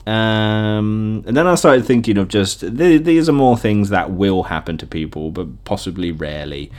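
A man's voice: on the low side, animated, normal speed, darkish tone. A young British man talking casually and informally on his own, rambling through memories as a podcast host with easy enthusiasm. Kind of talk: casual rambling solo reminiscing.

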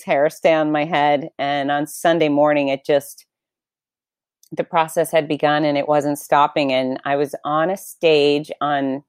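A middle-aged woman and race announcer, candidly telling a personal story in an interview with lively, self-deprecating emphasis. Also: low voice, dry recording, studio quality.

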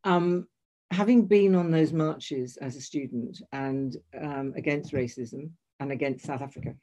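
A woman's voice: sits low, a little slow, dark tone, lo-fi sound. An older woman telling a long personal story in conversation, hesitant, restarting and correcting herself often. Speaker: guest.

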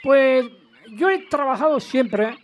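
A man's voice: very high-pitched, expressive, slow pace, heavily acted. An actor playing a chatty, boastful man in his eighties, a retired financier and yachtsman calling a radio show. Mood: cheerful, chatty. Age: 80s. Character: spry, chatty 82-year-old widowed retired financier and yachtsman. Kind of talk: radio comedy drama, phone-in caller. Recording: faint noise, harbour gulls behind.

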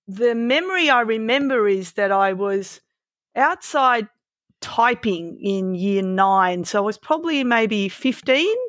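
A woman's voice: a little high, a bit bright, dry recording, clean studio sound. A woman in her fifties with an Australian accent, born in Malaysia, telling her story casually and candidly as an interview guest, with some self-deprecation. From born in Malaysia, moved to Australia around twelve.

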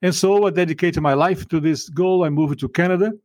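A man's voice: high voice, fairly quick, a little mellow, no room sound, clean studio sound. A man from Brazil with a Brazilian Portuguese accent, a guest on a podcast interview who speaks in an emphatic, fervent preaching tone and often restarts his sentences.

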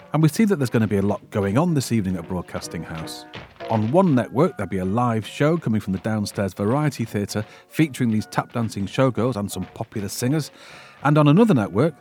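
A man's voice: lowish voice, darkish tone. A man delivering scripted narration as the presenter of a film synopsis.

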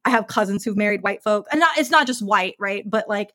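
A woman's voice: mid-range voice, a little fast, bright, forceful tone, dry recording, clean studio sound. A woman in her thirties, a podcast guest, speaking casually and thoughtfully with frequent "like" and "right?" fillers. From United States.

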